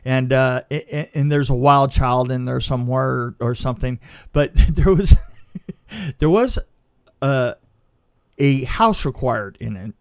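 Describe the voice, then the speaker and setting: A man's voice: medium pitch, a little slow, clear, resonant tone. A man telling a casual anecdote as a film crew guest, stammering and repeating words as he goes.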